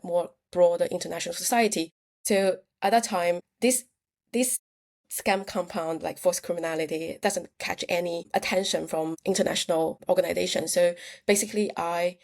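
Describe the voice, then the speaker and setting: A woman guest with a Chinese accent and a fairly low voice for a woman. Her native language is Chinese, and she tells her account earnestly in plain phrasing. She sometimes restarts her sentences. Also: steady tone, normal speed, darkish tone. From China. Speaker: researcher and guest. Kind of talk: interview answers.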